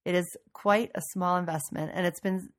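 A woman hosting a casual podcast intro, raspy from getting over a cold, chatty and enthusiastic. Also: on the low side, steady tone, moderate pace. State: recovering from a cold. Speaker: podcast host.

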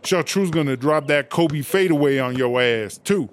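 Man playing a brash, high-voiced female prison rapper. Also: moderate pace, lightly acted. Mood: boastful. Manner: slangy, swaggering, profane. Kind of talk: comedy audio drama acting. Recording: music behind the voice.

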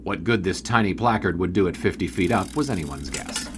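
A wry old-time newspaper sports writer, lowish voice, wordy and dry, audio drama w/ background ambience. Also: male voice, animated, fairly quick, noisy background. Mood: wry, observant. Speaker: narrator and lead character. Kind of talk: audio drama narration and dialogue.

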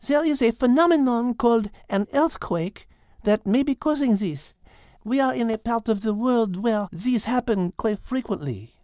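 A woman's voice: on the high side, expressive, moderate pace, dark tone, no room sound, clean studio sound, lightly acted. A woman voicing a brainy French female animal in a children's audio drama, speaking precisely and formally with logical poise. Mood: thoughtful, logical. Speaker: co-host and character in an animal story podcast.

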